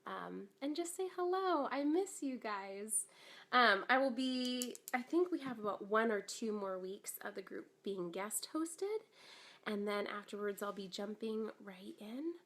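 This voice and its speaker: Woman, 30s, live-stream host with a cold-tinged rasp, chatty, upbeat, filler-heavy. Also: mid-range voice, expressive, unhurried, fairly bright tone. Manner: chatty and upbeat, many ums and likes, greets viewers. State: slightly raspy from a cold. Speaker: business coach hosting a live stream. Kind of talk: casual live-stream chat.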